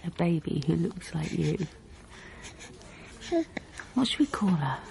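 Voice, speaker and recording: Anxious pregnant wife amid heavy background noise. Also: female voice, fairly low pitch, animated, slow pace, gravelly, darkish tone, exaggerated voice. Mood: tender, tired. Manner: hesitant, plain-spoken. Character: anxious, heavily pregnant wife and mother, wry and vulnerable. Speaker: actor in a radio drama. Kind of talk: naturalistic radio drama acting.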